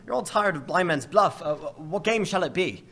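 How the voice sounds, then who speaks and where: A man playing a cheerful young nephew at a party, jovial and quick to laugh, in a live radio play. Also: a little high, expressive. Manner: jovial, laughing, warm-hearted.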